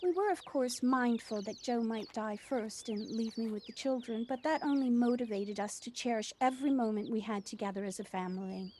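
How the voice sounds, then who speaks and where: A woman's voice: fairly high pitch, moderate pace, few pauses, darkish tone, natural voice. An actress playing a proud, devoted widowed mother who runs a cafe, speaking earnestly and reflectively in an audio play. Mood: wistful, proud. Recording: noisy home recording on personal device.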